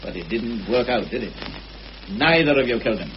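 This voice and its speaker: A man's voice: mid-range voice, animated, a little fast, close to an everyday voice. A shrewd police inspector, played by a man in a radio mystery, probes with dry irony and doubt. His intonation is very mobile, and the old broadcast sound is muffled with a faint hiss. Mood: sceptical, insistent. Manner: dryly ironic, probing questioning. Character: shrewd, sceptical male police inspector, probing and dryly ironic. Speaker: actor in a radio play. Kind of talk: radio murder mystery acting. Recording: muffled, lightly hissy old radio recording.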